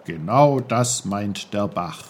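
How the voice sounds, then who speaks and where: A man's voice: lowish voice, expressive, slow pace, slight background noise, voice pushed down. Man doing a grumbling old hothead uncle. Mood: explaining. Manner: grumbling, gruff.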